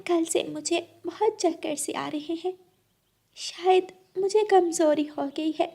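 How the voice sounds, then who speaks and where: Woman voicing a meek, high-pitched young wife bird. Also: slow, creaky, dark tone, full character voice. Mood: weak, anxious. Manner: deferential, plaintive. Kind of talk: Hindi cartoon story acting.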